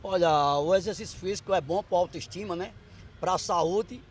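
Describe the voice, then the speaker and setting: A man's voice: high voice, moderate pace, a little hiss or hum. Enthusiastic man in his sixties outdoors. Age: 60s. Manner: enthusiastic, repetitive praise. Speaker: interviewee. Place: outdoors in a park.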